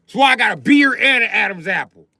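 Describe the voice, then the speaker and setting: A man's voice: high-pitched, animated, fast talker, gravelly, pushed and bright, no room sound. A middle-aged man livestreaming a profane, boastful comedic rant.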